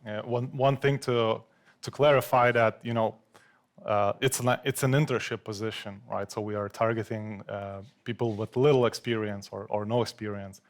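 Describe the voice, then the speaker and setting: A man on a live office panel, speaking haltingly, repeating words as he adds clarifications. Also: on the low side, fairly even intonation. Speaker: engineer on recruitment panel. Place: office event space.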